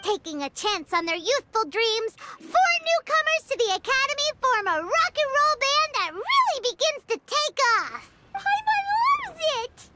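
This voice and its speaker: Casting: bubbly little female fairy sidekick for a game, very high squeaky kid-like voice, highly animated. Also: moderate pace, very noisy. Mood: eager pitching. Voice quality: squeaky, childlike. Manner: speaks of self in third person, bubbly. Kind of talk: video game character acting.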